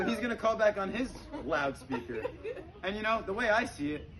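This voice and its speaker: A man tells stories as a stand-up comedian in a conversational way. His voice sits a little high for a man. The live recording is clearly noisy, with audience laughter behind him. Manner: conversational, frequent "you know", acts out dialogue.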